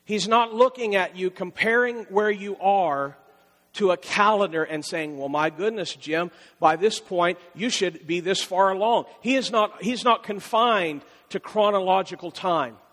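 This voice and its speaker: A man's voice: sits high, measured pace, fairly bright tone, in a large hall. A man preaching a sermon as pastor, in a conversational style that repeats phrases and rises for emphasis.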